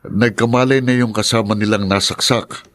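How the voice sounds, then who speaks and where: A man's voice: fairly low pitch, fairly even intonation, normal speed, fairly bright tone, close to an everyday voice. A man playing a kind but firm fatherly uncle in a Filipino radio drama, with a Filipino accent. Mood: kind, practical. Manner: paternal, firm, reassuring.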